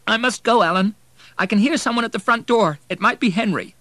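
A man voicing a distraught, ailing mother in an audiobook, shaky, breathy and sobbing. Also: sits high, fairly quick, bright, forceful tone, dry recording, voice pushed up. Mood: hurried, strained. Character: distraught ailing mother, tearful, weak, desperate. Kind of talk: audiobook character voice.

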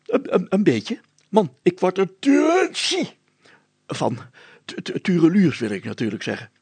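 A pompous village mayor from a children's story, high and rough-edged. He stutters and splutters sneezily, creaking as he swoops through flustered orders. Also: huge pitch swings, measured pace, a little mellow, dry recording, full character voice. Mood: flustered, grumbling.

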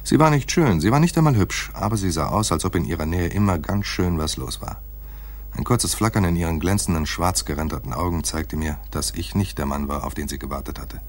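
An actor playing a laconic hard-boiled private detective in a noir radio play, with a dry, terse delivery and a husky voice. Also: male voice, fairly quick. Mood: dry, detached. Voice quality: husky, very deep. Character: hard-boiled male private detective narrating his own case. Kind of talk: noir radio play, first-person narration.

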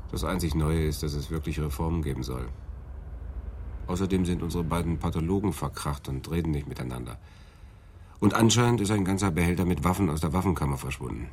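An actor playing a matter-of-fact, dryly dismissive police detective in a radio crime drama. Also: male voice, rumbling low, brisk, a little mellow, a little hiss or hum. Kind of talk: German radio play acting, office dialogue.

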